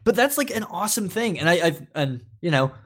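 A man's voice: high voice, big pitch swings, a little fast, a bit bright. A man co-hosting a podcast in casual discussion, talking in an emphatic, informal way with easy swearing. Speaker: podcast co-host.

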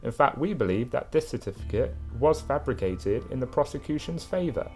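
A man's voice: medium pitch, animated, a little fast, darkish tone, faint music behind, close to an everyday voice. A man acting in an audio drama as an indignant defence lawyer, formal and argumentative. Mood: assertive.

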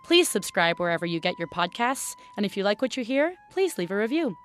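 Female announcer, medium pitch, scripted credits read, light music bed. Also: big pitch swings, fairly quick. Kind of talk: scripted narration.

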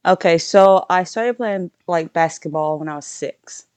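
A young woman from Louisiana with a Southern Louisiana accent, answering interview questions in a relaxed, casual way and often restarting her phrases. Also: on the low side, measured pace, clean tone, no room sound. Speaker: guest, powerlifter.

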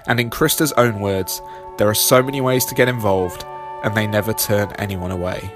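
A man hosting a podcast, husky from being sick, reading a scripted intro and carefully spelling out web addresses. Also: on the low side, over music. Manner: steady, reads details slowly and spells them out. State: husky from illness. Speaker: podcast host.

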